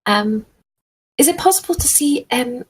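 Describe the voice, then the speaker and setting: A woman's voice: sits high, expressive, a little slow, a little hiss or hum, voice pushed up. Woman playing genteel, courteous Madame Sway.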